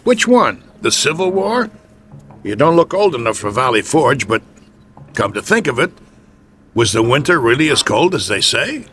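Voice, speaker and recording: An actor playing a sarcastic, exasperated American manager of a losing baseball club in a radio drama, brash and slangy. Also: male voice, mid-range voice, very animated, moderate pace, fairly bright tone, slight background noise. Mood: mocking.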